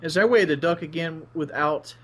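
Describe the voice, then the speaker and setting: A man with a slightly high voice chats as he plays a co-op video game. His talk is loose, casual and crude, aimed at his teammate. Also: fairly quick, a bit bright. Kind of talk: casual co-op gameplay chat.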